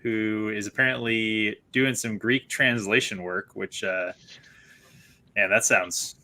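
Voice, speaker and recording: A man hosting a casual online book club chat, chatty and quick to laugh, often restarting his sentences. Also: on the low side, steady tone, sharp tone.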